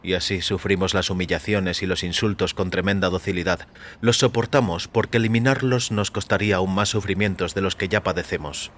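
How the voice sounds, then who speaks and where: A man reading scripted first-person fiction as a narrator, in a measured, literary, sombre manner. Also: low-pitched, fairly bright tone, a little hiss or hum. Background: faint music.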